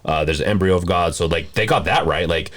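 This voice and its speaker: A man hosting a casual movie discussion podcast, talking in a halting, rambling way and often rephrasing mid-sentence. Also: low-pitched, a bit bright. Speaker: podcast host.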